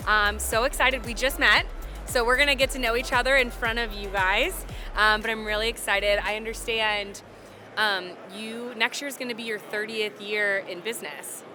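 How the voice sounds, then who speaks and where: A woman hosting a casual interview at a trade show, upbeat and eager, with many short affirmations. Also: fairly high pitch. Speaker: host. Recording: music playing behind. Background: music.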